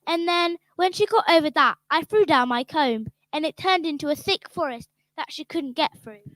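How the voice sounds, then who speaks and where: A woman's voice: high voice, moderate pace, no room sound. An actress playing an earnest young girl who eagerly tells of her escape in a children's radio play. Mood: excited. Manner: eager, excited storytelling.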